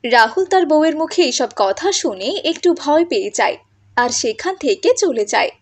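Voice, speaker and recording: A woman's voice: on the high side, big pitch swings, normal speed. A woman reading scripted narration for a cartoon moral story.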